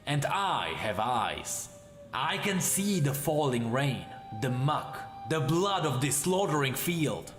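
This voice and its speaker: A man voicing an ancient, anguished and ravenous warrior spirit trapped in a blade, in halting, dramatic phrases. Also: on the high side, fairly bright tone, reverberant, heavily acted.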